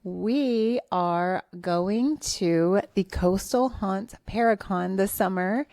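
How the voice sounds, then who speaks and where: Brief: upbeat woman co-host, mid pitch, dry studio sound. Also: takes it slowly, darkish tone, no room sound. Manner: chatty, upbeat, laughs often. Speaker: podcast co-host. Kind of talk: casual podcast chat.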